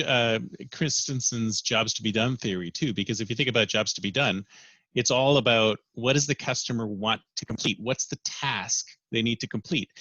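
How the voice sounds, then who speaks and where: A male podcast host asks questions in a relaxed, casual way. His voice is bright and forceful. The recording is dry and slightly dull. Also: medium pitch, no echo. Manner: relaxed, affirming. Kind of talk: casual interview.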